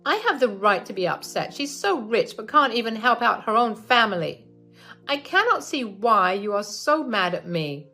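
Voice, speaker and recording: A woman's voice: on the high side, big pitch swings, normal speed, sharp tone, faint music behind, pitched above the performer's own voice, exaggerated voice. A woman voicing a spiteful, greedy older mother-in-law in a raised, scornful voice.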